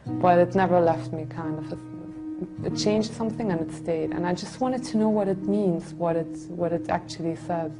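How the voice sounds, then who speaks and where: A woman's voice: on the low side, moderate pace, over music. A woman in her twenties from Germany giving an earnest, reflective personal testimony in unscripted speech. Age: 20s. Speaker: religious convert telling her story.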